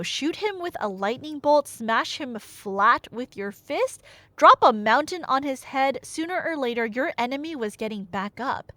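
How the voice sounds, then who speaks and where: A young woman retelling a tale as a chatty, theatrical storyteller, with emphatic delivery, dry humor and dramatic pauses. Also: fairly high pitch, a bit bright, no room sound. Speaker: audio storyteller playing a nerdy friend. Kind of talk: casual dramatic retelling of myth, as ASMR roleplay.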